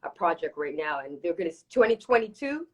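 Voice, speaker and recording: A woman's voice: low voice, sing-song, brisk, a little mellow, slightly rough, dull audio. A woman from Toronto speaking candidly as a singer being interviewed, emphatic and given to repeating phrases for emphasis. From Toronto, Canada. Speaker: reggae dancehall singer, interview guest. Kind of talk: casual live interview chat.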